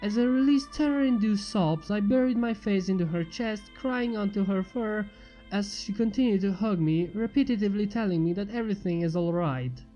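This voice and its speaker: A man's voice: high voice, moderate pace, mellow. A man reading a scripted story as a fan fiction narrator. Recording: muffled, echoey long reverb under music. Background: music.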